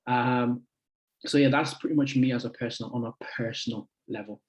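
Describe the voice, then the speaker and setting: Guest speaker, 20s male, easygoing, halting delivery, middle pitch. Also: fairly even intonation, muffled recording. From United Kingdom. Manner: friendly, chatty, halting with many fillers. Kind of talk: casual talk to an online group.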